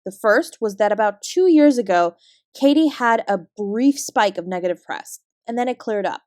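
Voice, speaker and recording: A woman with a Canadian accent playing a guarded, weary fraud investigator, giving a clipped, measured account in an audio drama. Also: mid-range voice, lively intonation, darkish tone, no room sound, studio quality, natural voice. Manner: measured, clipped report-like delivery. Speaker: investigator being interviewed.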